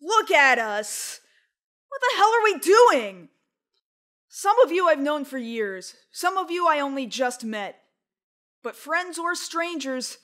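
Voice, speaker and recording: A woman acting as an earnest heroine in an amateur web drama. Her high voice is heartfelt and deliberate, with soft music underneath. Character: earnest heroine rallying her weary friends.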